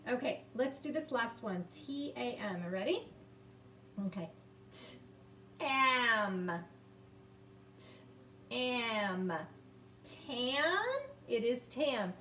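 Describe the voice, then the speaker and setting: A woman in her fifties leads a children's phonics lesson and read-aloud. She speaks very slowly and encouragingly, taking care over each word. She is a little away from the mic in a reverberant room with faint background noise. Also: fairly high pitch, fairly bright tone, distant mic. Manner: deliberate, sounds words out, encouraging. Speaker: early-grades teacher.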